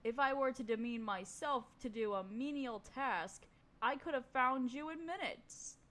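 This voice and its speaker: A woman's voice: high voice, fairly bright tone. A woman playing an imperious evil queen and sorceress in a fantasy audio roleplay, speaking formally with pompous, condescending menace. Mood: haughty. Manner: formal, pompous, condescending, slow deliberate delivery. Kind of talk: scripted fantasy audio roleplay addressed to listener.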